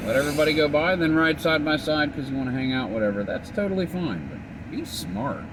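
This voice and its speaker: Man, from Delaware, driving vlog, animated pitch, loud engine and road noise. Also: fairly quick. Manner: talks to other drivers and cyclists, lively and joking. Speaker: vlogger driving. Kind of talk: casual driving chat. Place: inside a car on the road. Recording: distant mic in a noisy car.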